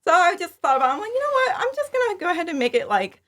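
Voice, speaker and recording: A woman's voice: extremely high pitch, very animated, rapid-fire, fairly bright tone. A woman talking casually and informally as an interview guest, chatty and prone to acting out her own remarks. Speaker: podcast guest, fan audio drama creator.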